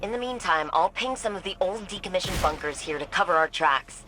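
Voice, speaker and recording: An actress playing a brisk, practical field operative in game mission dialogue. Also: female voice, fairly low pitch, animated, sharp tone, soft background music. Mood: businesslike.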